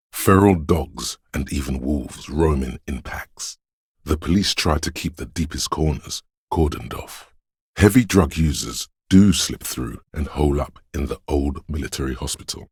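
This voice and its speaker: Very deep, husky, brooding inner voice. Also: male voice, darkish tone, no echo, studio quality, full character voice. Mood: grim, somber. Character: brooding, all-seeing inner voice sensing a wintry city. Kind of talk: slow atmospheric scripted game narration.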